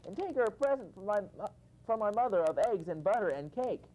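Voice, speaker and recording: A sweet, kind little girl from a fairy tale answers politely and eagerly, as a male reader plays her. Her voice is pitched up from his usual talk and moves through a wide melodic range. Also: expressive, a little fast, darkish tone, rough recording.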